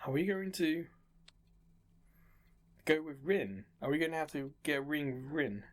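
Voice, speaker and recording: A man's voice: fairly high pitch, animated, unhurried. A man giving casual commentary as the host of a video game playthrough. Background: game music.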